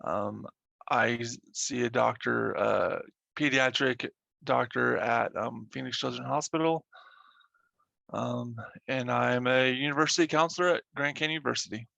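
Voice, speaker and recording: A man's voice: medium pitch, normal speed, vocal fry, sharp tone, no room sound, muffled recording. A man in his thirties from Kansas, a university counselor, talking hesitantly in a casual podcast chat. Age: 30s. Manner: hesitant, filler ums.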